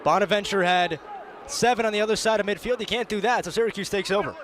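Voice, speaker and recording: A man's voice: sits high, quick pace, fairly bright tone, a faint crowd. A young man giving emphatic, conversational color commentary during a live sports broadcast. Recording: outdoor broadcast with faint background noise.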